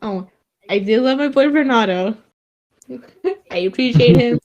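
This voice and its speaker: A woman's voice: on the high side, darkish tone, dull audio. Giggly woman with a slight Vietnamese accent. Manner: halting, many restarts and fillers, giggly. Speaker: streamer and podcast guest. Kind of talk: casual chat.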